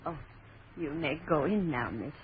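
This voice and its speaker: An actress playing a quiet old French hostess with a French accent, slow, hushed and formal, in old radio horror. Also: female voice, low-pitched, expressive. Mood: eerily polite. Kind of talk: 1930s radio horror acting. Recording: muffled, noisy old radio recording.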